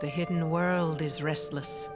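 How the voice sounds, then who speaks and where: An actress playing a mysterious older aunt in an audio drama, a solemn, commanding mystic who speaks in a measured, portentous way. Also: female voice, deep voice, steady tone, music in the background.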